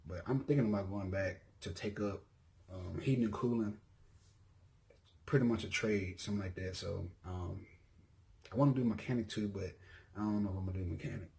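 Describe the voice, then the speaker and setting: A young man from Mississippi speaks with a relaxed Southern accent, in a low-pitched voice. He talks loosely to his viewers and drifts between thoughts. He fills pauses with ums and often corrects himself. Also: creaky. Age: 20s. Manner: laid-back, rambling, frequent ums and self-corrections. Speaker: YouTuber. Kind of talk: casual self-introduction vlog.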